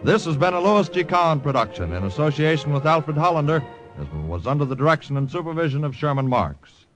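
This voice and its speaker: A man's voice: fairly high pitch, sing-song, a little fast. A man with an American accent reading scripted announcements in old-time radio style. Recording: old radio recording, faint music.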